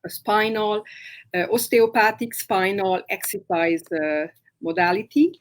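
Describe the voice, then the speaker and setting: Woman, middle-aged, from Romania, native Hungarian speaker, video call, hesitant filler-heavy explanations, medium pitch. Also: steady tone. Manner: explains slowly with many fillers. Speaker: massage therapist and guest. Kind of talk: live online interview.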